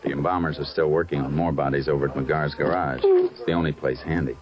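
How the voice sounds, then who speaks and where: A man in 1940s radio acting, playing a steady Texas Ranger who narrates his case in a measured way, on an old, muffled radio recording. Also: very deep voice, full character voice.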